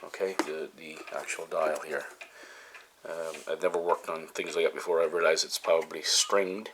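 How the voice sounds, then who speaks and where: A man giving a casual hands-on repair demonstration, talking haltingly with frequent pauses mid-sentence. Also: rumbling low, fairly even intonation, noisy background. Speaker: hobbyist host of a repair video series.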